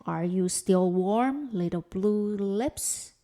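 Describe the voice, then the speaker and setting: A woman voicing an old male winter spirit from a folktale, gentle and deliberate as he questions. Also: medium pitch. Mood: solemn, probing.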